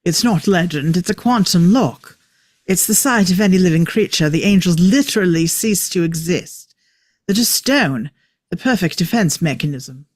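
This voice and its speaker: A man's voice: high voice, lively intonation, moderate pace, dry recording, clean studio sound. A man reading the part of a clever, eccentric time traveller at a table read, explaining things with wry emphasis. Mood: serious explaining.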